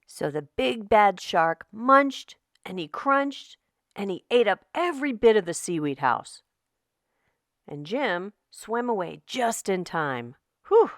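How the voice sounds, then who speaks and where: Animated woman reading a children's story slowly. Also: mid-range voice, no room sound, studio quality. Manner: slow, animated, deliberate storytelling delivery. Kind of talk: expressive scripted read-aloud narration for young children.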